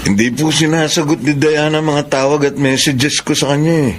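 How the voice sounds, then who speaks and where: An actor with a Filipino accent playing a polite, earnest young suitor in a radio drama, speaking hesitantly and deferentially. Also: male voice, a little high, fairly even intonation, moderate pace, slight background noise, lightly acted. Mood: polite, anxious.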